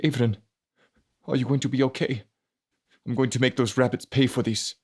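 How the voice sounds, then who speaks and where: A man's voice: medium pitch, steady tone, normal speed, slightly rough, pitched above the performer's own voice, lightly acted. A man voicing a bitter, hot-tempered, grieving male character in an audiobook, accusing and anguished.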